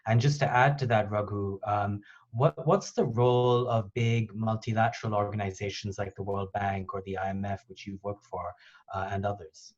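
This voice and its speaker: Male moderator for a panel call, lower register, dull lo-fi call audio. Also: steady tone, normal speed. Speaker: host, moderator of a conference call. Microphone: conference call line. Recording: dull, band-limited conference call line.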